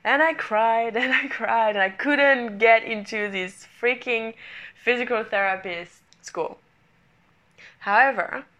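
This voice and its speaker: A woman's voice: a little high, a bit bright. A woman in her twenties from France, speaking English with a French accent, sharing her life story in an earnest, repetitive monologue with non-native grammar. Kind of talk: personal motivational monologue.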